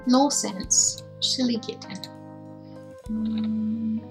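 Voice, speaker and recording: A woman with a slightly high voice hosts a reading stream. She moves between expressive, measured storytelling and playful, casual chat. Faint music plays behind her. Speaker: livestream host reading classic tales aloud.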